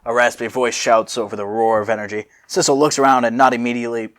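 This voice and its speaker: A young man narrating fan fiction as host, casual and sweary with animated asides. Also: mid-range voice, quick pace.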